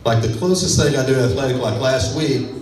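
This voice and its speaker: A man's voice: mid-range voice, fairly quick. A man from Texas with a West Texas accent, doing a self-deprecating, laid-back stand-up comedy set on a club stage. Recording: echoey club sound from far off with a crowd.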